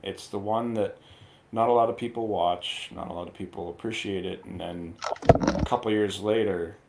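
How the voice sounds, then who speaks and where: A man reviewing a film in casual radio chat, halting as he searches for words. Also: sits low, fairly even intonation, vocal fry, heavy background noise.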